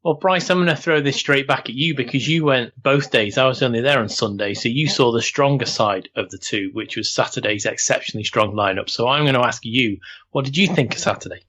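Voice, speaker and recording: Mid-pitched man chatting about football. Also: a little fast, a bit bright. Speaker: football podcast panellist. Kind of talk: casual chat.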